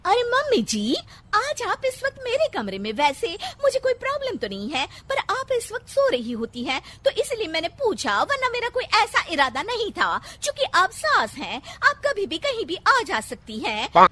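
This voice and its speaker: A cartoon chatterbox of a young daughter-in-law, pitched extremely high and sharp. She rambles on and justifies herself in looping logic, with wildly swooping intonation. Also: wildly swooping pitch, moderate pace, exaggerated voice. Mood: breezy chattering. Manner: talkative, rambling, over-explaining. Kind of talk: exaggerated cartoon voice acting.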